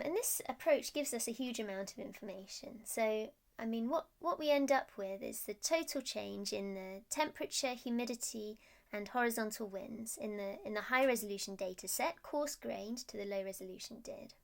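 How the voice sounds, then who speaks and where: Creaky-voiced woman giving a technical presentation. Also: medium pitch. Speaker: research scientist presenting. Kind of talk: technical presentation, explaining slides.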